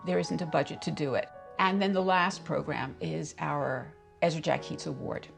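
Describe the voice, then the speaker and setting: A woman with a gravelly, creaky edge to a fairly low voice. She leads a foundation and talks in an earnest, emphatic and deliberate way during a documentary interview. Music plays clearly behind her. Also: fairly even intonation. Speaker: foundation leader. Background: music underneath.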